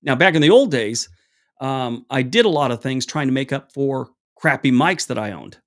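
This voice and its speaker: Man, deep voice, casual livestream host, rambling, lots of fillers, small clip mic, home room. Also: animated, moderate pace. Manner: rambling, chatty, many restarts and fillers; acts out both sides of an anecdote with raised pitch. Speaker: livestream host answering chat questions. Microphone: small wireless clip mic.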